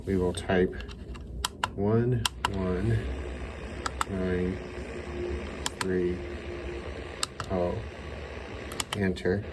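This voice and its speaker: A man giving an unscripted hands-on gadget demonstration, thinking aloud in halting short phrases. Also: low voice, fairly even intonation. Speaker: radio hobbyist demonstrating receivers. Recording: loud radio static and hiss. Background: shortwave radio noise and tuning static.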